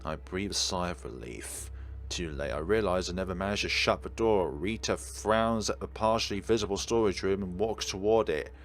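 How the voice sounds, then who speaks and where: A man's voice: low voice, normal speed. A man reading a visual novel aloud as a let's play host, slipping in wry asides, with music behind him.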